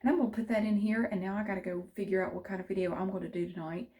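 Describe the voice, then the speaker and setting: Southern woman vlogger, middle-aged, mellow and rambling, easy chat. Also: mid-range voice, steady tone, rapid-fire. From central North Carolina. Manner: chatty, rambling, many restarts. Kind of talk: casual chat to camera.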